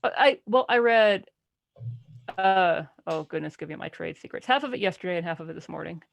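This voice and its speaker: A woman chatting casually as a panelist on a book podcast, telling things in an animated, wry way. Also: fairly low pitch, brisk, vocal fry. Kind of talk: casual book-club discussion.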